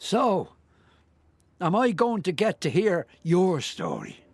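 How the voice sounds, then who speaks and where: An unhurried older Irish man, with a gravelly creak in a high voice. He is an eccentric, generous soul in a radio drama, coaxing and gently teasing, recorded very dry. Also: huge pitch swings, dry recording, full character voice. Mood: hesitant wonder. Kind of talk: radio play acting.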